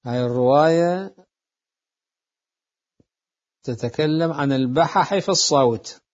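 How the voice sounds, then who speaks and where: Deliberate man lecturing, dull lo-fi recording. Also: a little high. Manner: deliberate, repeats phrases to explain. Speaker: seminary jurisprudence teacher.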